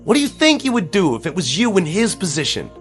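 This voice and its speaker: A man's voice: sits high, big pitch swings, quick pace, fairly bright tone, soft background music. An actor playing an anxious, determined small-town man in an audio drama, speaking earnestly and persuasively. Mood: pleading.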